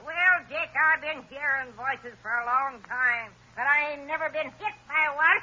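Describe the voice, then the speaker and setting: A woman's voice: sits high, normal speed, pushed and bright. An actress playing a crazy, possessive old woman kidnapper with cackling, rustic speech in an old-time radio drama. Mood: gleeful, crazed. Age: older. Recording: lo-fi vintage radio recording.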